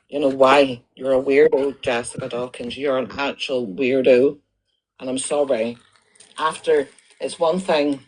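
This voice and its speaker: A woman's voice: extremely low pitch, darkish tone, husky, poor audio. A woman with a Northern Irish accent, talking to viewers on a live stream in an indignant, accusatory way and repeating herself as she builds her point. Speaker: livestreamer.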